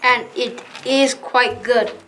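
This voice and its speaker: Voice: mid-range voice, steady tone, slow pace, sharp tone, faint music behind. A female performer playing a courteous rhyming knight in a children's reader's theater play. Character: cheerful, courteous rhyming knight of a fantasy kingdom.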